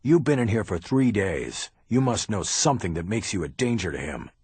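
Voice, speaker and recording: A tough middle-aged cop, voiced by a man reading the book. His lines come out blunt and profane in a husky tone, recorded dry and close with no room around it. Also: lowish voice, sing-song, normal speed, darkish tone, no echo, heavily acted. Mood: stern. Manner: blunt, curses, barks orders. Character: gruff tough middle-aged male police detective. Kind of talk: audiobook character reading.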